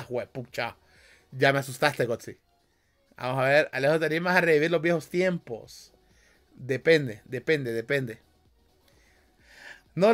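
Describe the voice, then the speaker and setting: A man's voice: high-pitched, fairly bright tone. Joking Honduran man streaming from his bedroom. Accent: Honduran Spanish. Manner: joking, slangy, laughs often. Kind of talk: casual livestream chat reading comments. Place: small bedroom. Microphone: headset with desk mic.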